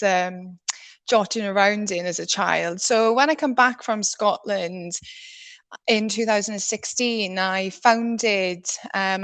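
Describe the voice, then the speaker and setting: A woman's voice: medium pitch, fairly bright tone, no echo. A woman from South Wales in her thirties with a South Welsh accent, giving a chatty webinar talk with many ums and restarts over a video call. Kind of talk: presentation over screen share.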